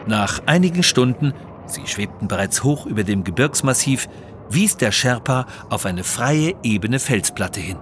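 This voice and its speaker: A man's voice: medium pitch, lively intonation, normal speed, a bit bright, a little hiss or hum. A man reading scripted narration for an adventure audio story.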